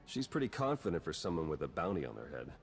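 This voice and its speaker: An actor playing a wry, terse, roguish spy in a video game, dry and matter-of-fact. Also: male voice, very deep voice, big pitch swings, fast, vocal fry, faint music behind, heavily acted. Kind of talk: cinematic video game acting.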